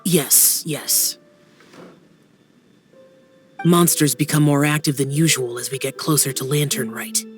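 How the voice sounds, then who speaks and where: A man's voice: a little high, measured pace, pauses often, soft background music, natural voice. A man playing a reserved, socially awkward youthful warrior in an anime game, with a hesitant delivery. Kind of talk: anime game dub acting.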